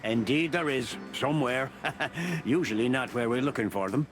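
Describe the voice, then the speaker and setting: A man's voice: mid-range voice, normal speed, close to an everyday voice. An actor playing a jovial, stubborn old beaver in a video game, with an Irish accent and a teasing, folksy, fatherly manner. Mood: reflective. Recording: music under the voice.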